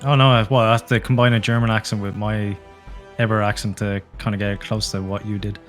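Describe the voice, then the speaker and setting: A man's voice: lowish voice, level tone, brisk, faint music behind. A man playing in a tabletop game, teasing the game master in casual banter. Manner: teasing, laughing. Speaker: player.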